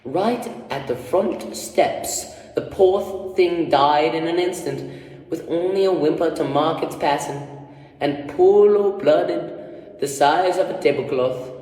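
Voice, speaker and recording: A man voicing an excitable, sentimental female servant with an attempted Scottish accent in a rambling, folksy radio-play style. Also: fairly high pitch, slow. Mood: dramatic storytelling. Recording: echoey room, distant microphone.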